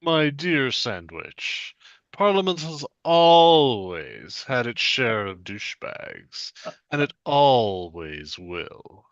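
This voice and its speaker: A man playing a stately, dry-witted aristocrat in a comedy sketch, speaking in a slow, pompous, measured way. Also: medium pitch, very animated, a bit bright, dry recording, lo-fi sound.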